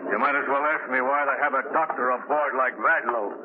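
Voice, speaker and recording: Man acting a gruff, folksy working seaman. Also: mid-range voice, brisk, narrow, tinny audio, natural voice. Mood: grumbling. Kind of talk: 1930s radio drama acting. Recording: thin, noisy 1930s radio recording.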